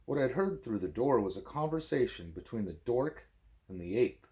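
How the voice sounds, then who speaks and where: A man, a parent, reading a book aloud expressively as a bedtime story, with a wry, deadpan manner. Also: medium pitch, moderate pace.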